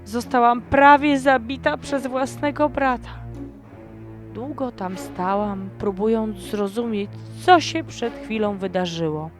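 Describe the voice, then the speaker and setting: Woman narrator, slow dramatic storytelling, very unhurried, music underneath. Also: a little high. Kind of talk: first-person storytelling narration. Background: background music.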